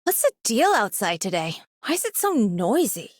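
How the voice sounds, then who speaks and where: A woman voicing an excitable, impulsive young girl in a video game, exclaiming with fiery enthusiasm. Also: fairly high pitch, moderate pace, no room sound, clean studio sound. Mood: excited. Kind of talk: video game character voice lines.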